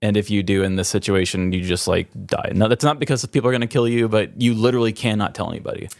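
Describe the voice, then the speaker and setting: A man's voice: on the low side, steady tone, quick pace, no echo. An American man co-hosting a podcast in casual comedic banter, with a wry, sardonic, casually profane way of talking. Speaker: podcast co-host.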